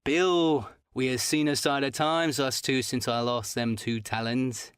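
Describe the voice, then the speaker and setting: A man's voice: medium pitch, fairly even intonation, a little slow, fairly bright tone, clear, resonant tone, no echo, studio quality, lightly acted. A man voicing a sly, menacing old pirate who talks in a half fawning, half sneering way, read as a character in an audiobook. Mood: ingratiating.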